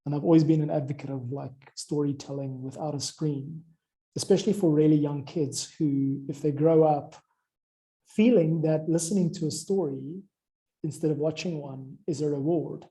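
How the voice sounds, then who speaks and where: A man's voice: fairly high pitch, steady tone, normal speed, warm tone, low-quality recording. A man talking informally about audio production, explaining things in a rambling way as he thinks aloud. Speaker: audio drama music creator.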